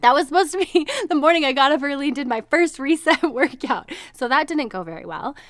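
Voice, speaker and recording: A woman's voice: sits high, lively intonation, a little fast, a bit bright, dry recording. Woman, 30s, podcast host, nasal, stuffed-up, bubbly, laughs a lot, very dry sound. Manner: bubbly and chatty, laughs often. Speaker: podcast host, registered dietitian. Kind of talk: casual solo podcast chat.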